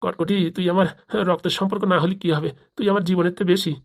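A woman's voice: on the low side, fairly even intonation, fast. A woman voicing a male village politician in a comic cartoon, gushing and familiar, a scheming brotherly patron. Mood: effusive, brotherly.

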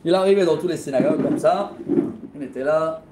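Animated rabbi telling stories in a classroom. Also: male voice, on the high side, brisk, very noisy. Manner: animated, laughs while telling stories, gestures a lot. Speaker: rabbi teaching a Torah class. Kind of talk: lively storytelling lesson. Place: classroom with bookshelves, indoors. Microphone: laptop webcam at close range.

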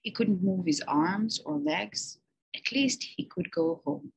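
Slow, husky woman reading a storybook. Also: medium pitch, poor audio. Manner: slow, expressive storytelling with emphatic pauses. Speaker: teacher reading to children. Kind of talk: reading a children's picture book aloud.

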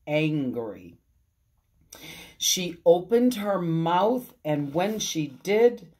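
A middle-aged woman reading a bedtime story to children, expressively, with theatrical drawn-out emphasis and dramatic pauses. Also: low voice, darkish tone. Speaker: pastor reading children's stories. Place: small room at home, indoors. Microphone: phone at arm's length.

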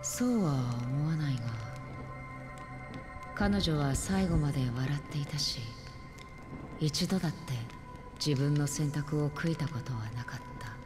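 An actress playing a calm, melancholy, detached wandering woman in a Japanese video game, solemn and softly breathy at phrase ends. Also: low voice, unhurried, long pauses, music in the background.

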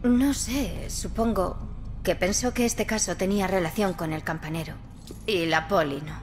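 A woman's voice: lowish voice, normal speed, lightly acted. A woman playing a sarcastic, guarded and defiant teenage girl in a video game, speaking Castilian Spanish. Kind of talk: video game cutscene acting. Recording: prominent music under the voice.

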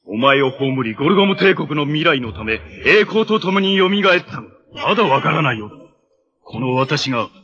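An actor playing a menacing armored cyborg villain in a 1980s Japanese superhero TV show, making imperious, theatrical proclamations. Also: male voice, a little high, lively intonation, moderate pace, sharp tone, muffled recording. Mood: proud, menacing.

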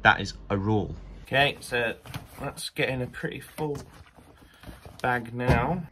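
A man's voice: medium pitch, measured pace, pushed and bright. Chatty young man vlogging on a phone. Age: 20s. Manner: chatty, jokey, talks to a handheld camera. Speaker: touring musician vlogging. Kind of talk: casual vlog narration. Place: van, hotel room and small venue. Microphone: phone or camera on a handheld grip. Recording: noisy handheld phone audio.

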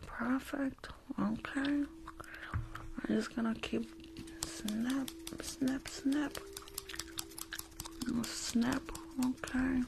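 A woman's voice: high voice, fairly even intonation, very slow, vocal fry, long pauses, background noise, exaggerated voice. A woman voicing a rude, impatient, gum-chewing New York school nurse in an ASMR roleplay, repeating herself in a brusque, mock-soothing way close to the microphone. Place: small bedroom. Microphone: close furry-covered condenser microphone.

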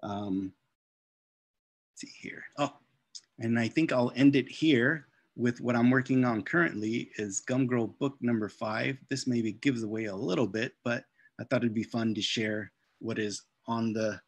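Middle-aged man with a creaky, casual voice. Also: medium pitch, no room sound. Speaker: children's book author and illustrator. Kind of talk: informal slide presentation of artwork. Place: indoors on a video call.

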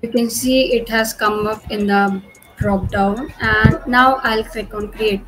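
A woman's voice: fairly high pitch, fairly even intonation, takes it slowly, a bit bright, soft background music, poor audio. Woman explaining cloud steps, filler-heavy. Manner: frequent "okay" and "you know" fillers, patient explaining. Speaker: cloud tutorial presenter. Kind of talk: screen-share technical walkthrough.

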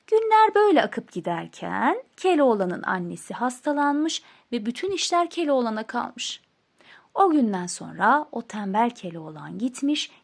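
A woman storyteller narrating a scripted fairy tale with an expressive storybook delivery. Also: fairly high pitch.

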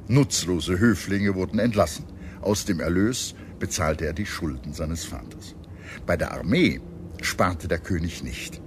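A man reading a scripted history documentary in a measured, expressive storytelling manner. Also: low-pitched, slight background noise. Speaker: documentary narrator. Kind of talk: scripted documentary narration with dramatized quotes. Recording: music under the voice. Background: background music.

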